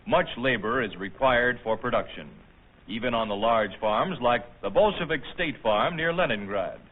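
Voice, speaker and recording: An American man reading formal, measured, scripted narration in the manner of a 1950s documentary newsreel. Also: mid-range voice. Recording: tinny band-limited old film soundtrack.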